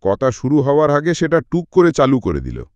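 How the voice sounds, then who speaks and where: A man voicing an excitable middle-aged sidekick in a story, chatty and suspicious, with a confiding, emphatic manner. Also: mid-range voice, moderate pace, dry recording. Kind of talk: audiobook character dialogue.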